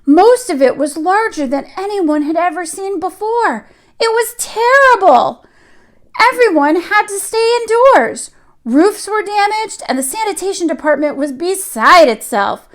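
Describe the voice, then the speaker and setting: A woman reading aloud to young children in an expressive storytelling style, with dramatic emphasis. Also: very high-pitched, unhurried. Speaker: children's story reader, teacher.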